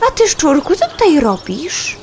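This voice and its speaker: A woman's voice: sits high, wildly swooping pitch, a little slow, gravelly, a little hiss or hum, heavily acted. A woman voicing a dreamy little female fly who composes songs, earnest and flustered, in a children's audio play. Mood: rueful, friendly. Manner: earnest, flustered, self-critical.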